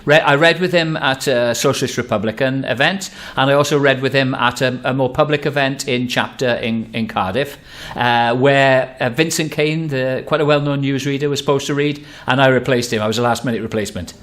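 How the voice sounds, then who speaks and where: A man's voice: medium pitch, fairly even intonation, a little fast, hardly any pauses, a bit bright. Poet guy, plain and matter of fact, just chatting. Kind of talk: casual interview answer.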